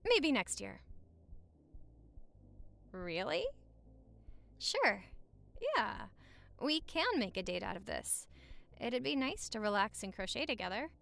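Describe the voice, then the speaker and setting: A woman playing a high-voiced, playful newlywed wife. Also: very animated, slow, vocal fry, pauses often, a bit bright, exaggerated voice. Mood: playful, teasing. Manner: bantering, teasing, sweet-talking. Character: affectionate, playful, teasing young newlywed wife. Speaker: audio roleplay performer. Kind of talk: cozy romantic audio roleplay, conversational.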